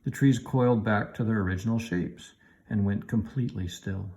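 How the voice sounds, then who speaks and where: A man reading a children's storybook aloud in an animated narrating style. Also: fairly low pitch, moderate pace. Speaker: elementary teacher reading aloud.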